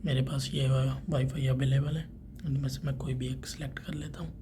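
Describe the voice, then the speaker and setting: Male tutorial presenter, medium pitch, informal step-by-step delivery, noticeably noisy recording. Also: level tone, brisk. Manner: informal, talking through each step while doing it. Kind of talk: step-by-step tech tutorial narration. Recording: clearly noisy background ambience.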